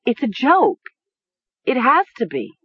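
A woman acting a shrill, hysterical young woman. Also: huge pitch swings, a little slow, slightly rough, dull audio, exaggerated voice. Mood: frantic denial. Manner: shrill, pleading, insistent. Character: hysterical young woman giggling in denial, turning frantic. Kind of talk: audiobook character acting.

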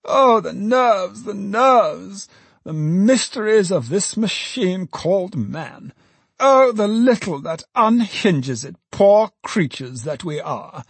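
A man voicing a pompous, sanctimonious, excitable alderman in an audiobook, exclaiming and lamenting grandly. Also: high-pitched, takes it slowly, creaky, slightly rough, no echo, voice pushed up, exaggerated voice. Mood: pious lament. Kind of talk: dramatized audiobook character voice.

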